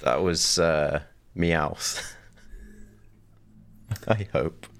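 A man's voice: very deep voice, drawn-out words. A man bantering casually on a quiz panel, wry and sweary.

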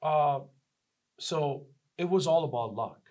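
A man from Iran with an Iranian accent, a plumber telling his story as a guest in a casual interview, pausing often to find his words. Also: on the high side, animated, a little mellow. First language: Persian. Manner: halting, searching for words.

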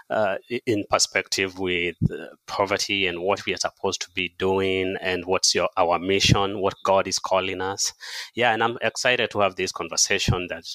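A man with a Kenyan accent speaking as an interview guest, telling his story in measured, hesitant phrases. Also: low-pitched, pushed and bright, dry recording. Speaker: podcast guest, charity staff member. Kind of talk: conversational interview, personal storytelling.

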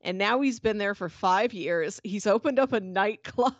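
A woman's voice: medium pitch, measured pace, dry recording, dull audio. Chatty woman podcaster, dry close sound. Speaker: podcast host. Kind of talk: casual conversational TV review.

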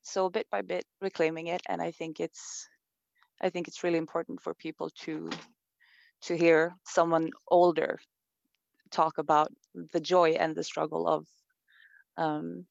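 A woman's voice: deep voice, flat delivery, a little slow, creaky, a little mellow, no room sound, dull audio. A woman from Sweden with a Swedish accent, speaking thoughtfully in an interview, with many fillers and restarts. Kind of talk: reflective conversation.

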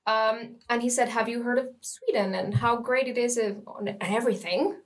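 Young woman, slightly high voice, eager guest. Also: moderate pace. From Costa Rica. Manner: enthusiastic, laughs often, some fillers. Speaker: student guest. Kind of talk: casual interview chat.

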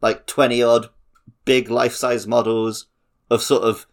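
A man's voice: mid-range voice, little pitch movement, measured pace. A man chatting casually as a podcast co-host. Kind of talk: casual chat.

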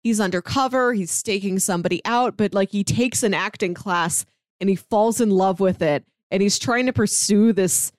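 A woman's voice: mid-range voice, normal speed, a little mellow, no echo. Woman podcast co-host, dry close sound. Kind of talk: casual podcast chat.

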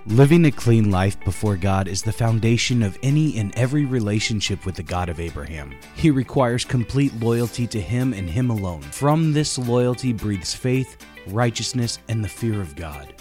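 Male ad announcer, low register, solemn scripted read over a guitar bed. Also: lively intonation, normal speed, hardly any pauses, a little mellow. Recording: music bed behind voice.

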